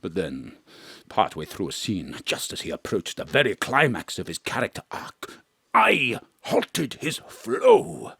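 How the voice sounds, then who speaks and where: A pompous veteran stage actor, played by a man in an audio drama, bombastic and theatrical. His husky voice leaps all over the place between booming and hushed. The sound is dry and close. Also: huge pitch swings, measured pace, no room sound, exaggerated voice. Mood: melodramatic. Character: pompous grand old male stage actor, florid and melodramatic. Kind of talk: live remote audio drama acting. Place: home studio.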